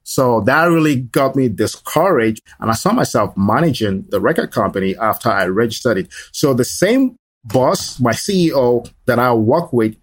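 A middle-aged man from Nigeria, a podcast guest recounting his life in relaxed talk full of "you know". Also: medium pitch, normal speed, no echo. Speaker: guest, small business owner. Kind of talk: conversational life story.

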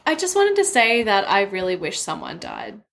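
A woman's voice: mid-range voice, wildly swooping pitch, moderate pace, gravelly, a bit bright. A woman co-hosting a podcast, chatting casually with brief, wry interjections. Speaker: book podcast co-host. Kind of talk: casual chat.

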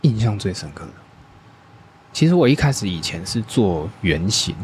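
A man answering interview questions as a guest designer, speaking hesitantly with many pauses and restarts. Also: lowish voice, sing-song, slight background noise.

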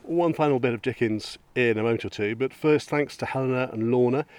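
A man's voice: mid-range voice, brisk, darkish tone. Male podcast host, mid-range voice. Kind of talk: conversational local podcast presenting.

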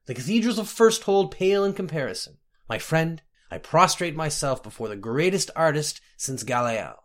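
A man's voice: fairly high pitch, animated, normal speed, fairly bright tone, voice pushed up. A man voicing an effusive archpriest in a storybook narration, gushing in florid, emotional hyperbole. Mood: gushing, awed.

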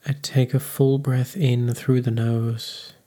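A man leading a guided meditation in a calm, unhurried, soothing manner. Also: mid-range voice.

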